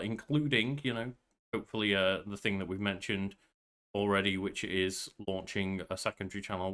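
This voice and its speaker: Bright-voiced young man co-hosting. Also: on the low side. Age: young adult. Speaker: podcast co-host and game streamer. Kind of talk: casual podcast banter.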